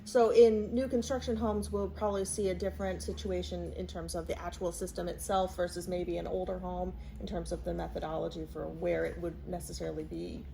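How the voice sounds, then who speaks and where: A woman's voice: lowish voice, little pitch movement, fairly quick, vocal fry, hardly any pauses, mellow, a little hiss or hum, poor audio. A woman hosting a conversational interview, asking a guest practical questions. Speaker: interviewer, real estate professional.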